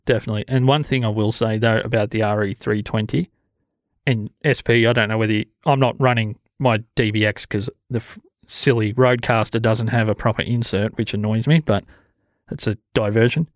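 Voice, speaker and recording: An Australian man hosting a podcast in relaxed, low-energy casual chat, with a sibilant voice on a studio mic. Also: brisk, no room sound. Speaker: podcast host. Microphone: studio dynamic mic.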